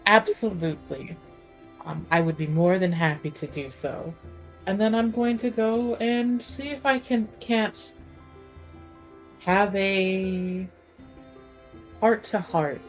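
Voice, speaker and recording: A woman voicing a genteel, protective lady in a tabletop roleplaying game, measured, formal and delicate. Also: mid-range voice, muffled recording, soft background music. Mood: resolute.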